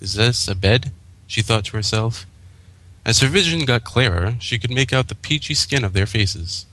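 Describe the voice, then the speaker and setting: A man's voice: mid-range voice, lively intonation, moderate pace, bright, forceful tone, a little hiss or hum, rough recording. A man reading scripted story narration as a fan fiction reader.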